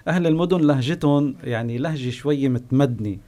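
A man with a Palestinian Bedouin accent, a guest recalling his home village, pausing often with long filler sounds. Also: medium pitch, warm tone. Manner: unhurried storyteller, pauses often with drawn-out "ah" fillers. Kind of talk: reminiscing oral history on a call-in show.

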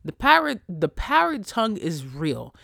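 High-voiced, animated podcast host. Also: male voice, measured pace, gravelly, dry recording, studio quality. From Haitian family. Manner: animated, profane, swings loud and quiet. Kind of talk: casual solo podcast rant.

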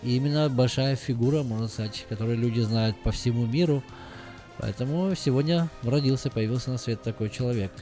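Man, radio co-host, quick talker, casual banter, faint background music. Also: mid-range voice, dark tone. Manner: fast, chatty storyteller. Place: radio studio. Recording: faint music under the talk.

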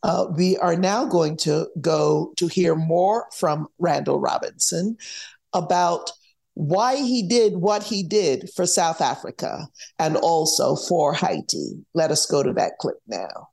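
A woman hosting a radio program, introducing an archival clip in a measured way with occasional fillers. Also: deep voice, animated, vocal fry, a little mellow. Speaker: radio show host.

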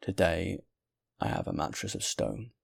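A man's voice: sits low, very animated, measured pace, gravelly, full character voice. A man playing a weary, bitter former soldier, harsh and curt, in an audiobook story.

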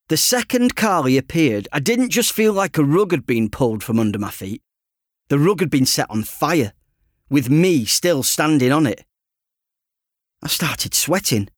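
Expressive man narrating, lively swooping pitch. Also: dry recording, clean studio sound. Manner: conversational, self-deprecating, expressive. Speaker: author reading his own audiobook. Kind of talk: first-person audiobook narration as a teenage boy.

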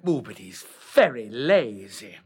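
A brash pantomime dame in an audio drama, all shrill sing-song swoops and cackles. The voice leaps high and wide, yet stays slow and husky underneath. It plays to the crowd with hammy relish. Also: male voice, big pitch swings. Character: brash pantomime dame, loud, flirty widow.

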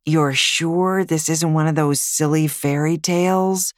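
A woman's voice: deep voice, fairly even intonation, a little slow, dry recording, studio quality. A woman voicing a skeptical young boy in an audiobook, grudging and unimpressed. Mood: wary. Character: skeptical young boy, grandson wary of kissing stories. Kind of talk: audiobook character voice.

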